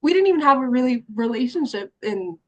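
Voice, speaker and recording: A woman's voice: sits high, expressive, a little fast, darkish tone, muffled recording. A young woman, an actress, chatting casually over a video call, laughing often and filling her talk with "like". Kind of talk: casual conversation.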